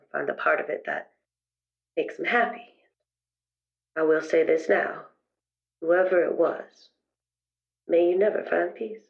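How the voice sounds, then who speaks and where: Low creaky weary therapist played by a woman. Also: lo-fi sound. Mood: quietly bitter. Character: weary middle-aged therapist, gentle, quietly bitter, loves gardening. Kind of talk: improvised in-character roleplay for an actual play podcast.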